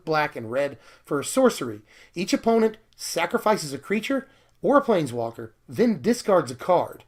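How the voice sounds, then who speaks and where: A young man with a fairly high voice gone slightly hoarse talks eagerly about new cards. He rambles casually, full of fillers and quick bursts of excitement. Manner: enthusiastic, talkative, fillers and laughs. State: hoarse, losing his voice. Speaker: card game video host.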